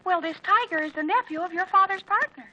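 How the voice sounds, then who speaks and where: A woman playing gossipy, excited teenage girls on the telephone in 1940s radio comedy, gushing, chatty and confiding. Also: very high voice, quick pace, slight background noise, poor audio. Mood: gushing gossip. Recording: vintage 1940s radio recording.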